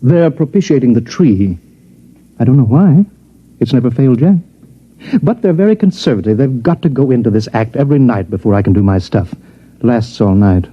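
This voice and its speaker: Husky swindler, urbane, chatty, showy, old radio drama, muffled sound. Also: male voice, medium pitch, animated, normal speed. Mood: chatty, explaining. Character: genial, talkative male counterfeiter living among aliens. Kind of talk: 1950s radio drama acting. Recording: dull, muffled vintage radio recording.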